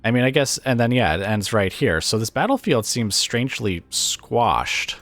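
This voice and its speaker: A man with a raspy, weakened voice from illness, casually commentating a strategy game and thinking aloud with plenty of hesitant fillers. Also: mid-range voice, big pitch swings, normal speed, a bit bright, soft background music.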